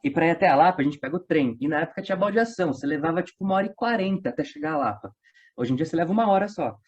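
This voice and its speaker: São guy telling stories, slangy, voice a bit high, dull lo-fi sound. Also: expressive, very fast, husky. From São Paulo state, Brazil. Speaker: interview guest, audio drama creator. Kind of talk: casual storytelling. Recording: dull lo-fi online interview audio.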